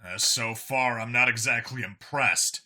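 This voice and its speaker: Narrator doing gruff human cop guy in a scifi audiobook, lower voice, dry muttering, kinda wary. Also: unhurried, pushed and bright. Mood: unimpressed. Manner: dry, casual, hesitant muttering.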